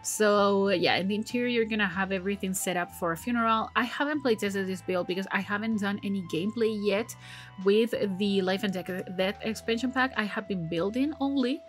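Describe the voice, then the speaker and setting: A woman's voice: mid-range voice, a little fast, soft background music. A woman in her thirties, recovering from a cold, giving casual, rambling voice-over commentary that she often corrects midway, with frequent laughs. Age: 30s. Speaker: game builder channel host.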